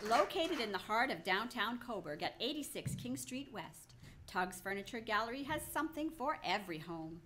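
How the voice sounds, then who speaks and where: A woman's voice: fairly high pitch, sing-song, normal speed, noisy background. A woman co-hosting an old-time radio show with agreeable, rehearsed lines. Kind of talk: scripted old-time radio banter and sponsor reads.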